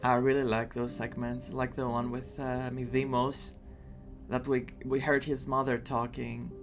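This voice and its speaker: A man's voice: medium pitch, little pitch movement, a little slow. Man thinking aloud over game music. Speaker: gaming streamer. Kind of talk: casual playthrough commentary.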